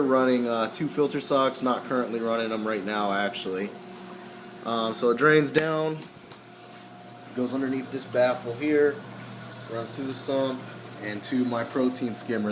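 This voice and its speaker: A man walking through his aquarium equipment in a casual, halting way, with many ums and frequent throat clearing, his voice slightly hoarse. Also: medium pitch, roomy sound, noisy background. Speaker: aquarium hobbyist.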